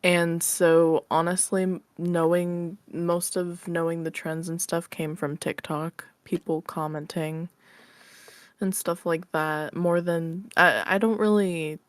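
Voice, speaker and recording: A woman speaks as a guest in a casual interview. Her voice sits a little low and is recorded dry and close. She is informal and modest about herself, often softening what she says. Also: level tone, takes it slowly, no room sound. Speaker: podcast guest, ASMR roleplay creator. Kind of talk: casual chat, answering interview questions.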